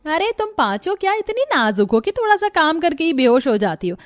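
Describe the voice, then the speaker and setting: A woman's voice: high-pitched, very animated, brisk, no echo, clean studio sound, faint music behind. A woman voicing a stingy, cunning middle-aged mother-in-law in a cartoon story, scolding and accusing in a nagging manner. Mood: angry scolding. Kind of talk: Hindi cartoon moral-story dialogue.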